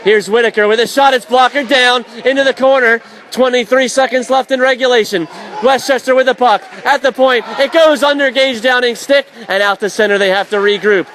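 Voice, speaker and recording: A man calling live ice hockey play-by-play for radio in an excitable, vivid, dramatic manner. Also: extremely high pitch, fairly quick. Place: indoor ice rink broadcast position. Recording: loud crowd and rink noise behind. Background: hockey crowd and rink ambience.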